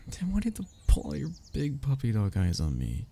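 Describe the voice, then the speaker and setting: A young man playing a wistful, lovestruck childhood best friend in an intimate audio roleplay, speaking in a halting, sighing, confessional way. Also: medium pitch, wildly swooping pitch, vocal fry, warm tone, a little hiss or hum. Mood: reluctant, teasing. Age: young adult.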